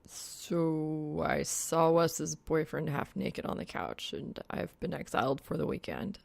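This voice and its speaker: Woman as a low, grumpy, awkward young man. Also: takes it slowly, creaky, dry recording, heavily acted. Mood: awkward, hesitant. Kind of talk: audiobook character acting.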